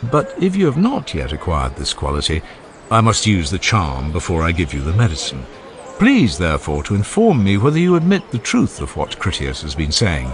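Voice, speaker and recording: A man playing an older philosopher who questions patiently and courteously in formal, measured phrases. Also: deep voice, huge pitch swings. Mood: courteous, probing. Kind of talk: dramatized philosophical dialogue.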